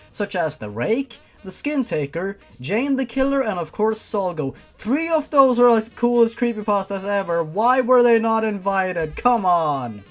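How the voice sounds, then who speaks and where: Sarcastic, animated fan-fiction reader. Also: mid-range voice. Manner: sarcastic, exasperated, sweary asides. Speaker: host reading fan fiction. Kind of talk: story reading with mocking commentary.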